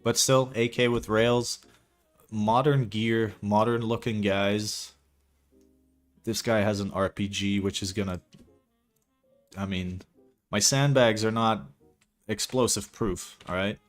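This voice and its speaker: A man's voice: on the low side, steady tone, takes it slowly, long pauses, soft background music. A young man from Belgium whose first language is not English, chatting casually and rambling, swearing now and then, as he walks viewers through a map he is building. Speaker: streamer building a game map. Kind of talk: casual livestream commentary.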